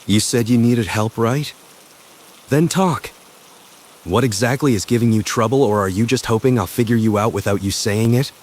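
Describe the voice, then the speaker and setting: Male actor as confident, possessive professor for intimate ASMR roleplay. Medium pitch, smug, teasing, commanding, deliberate pauses. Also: a little hiss or hum, lightly acted. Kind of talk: boyfriend ASMR roleplay, spoken intimately to the listener.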